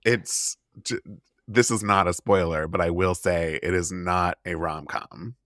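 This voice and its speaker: A man with a deep voice hosts a conversational interview podcast. He is encouraging and reassuring, chatting casually as he draws out his guest. The recording is very dry and free of echo. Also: fairly even intonation, unhurried, a bit bright. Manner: encouraging, reassuring, frequent brief acknowledgements. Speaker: podcast host.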